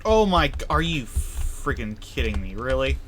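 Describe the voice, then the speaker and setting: A man streams a video game with loose, off-the-cuff commentary. He is self-deprecating and swears mildly as he thinks aloud. Faint keyboard clicks and typing sit behind his mid-pitched voice. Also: big pitch swings, a little slow. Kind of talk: casual live gameplay commentary. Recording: clear keyboard and typing noise.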